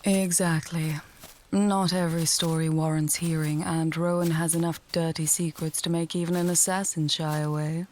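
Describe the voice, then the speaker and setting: A woman playing a wry, confident mage and healer in a fantasy audio tale, speaking in a measured, articulate, dryly teasing way. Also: low-pitched, little pitch movement, a little mellow, slight background noise, close to an everyday voice. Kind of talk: fantasy audio roleplay, addressing the listener.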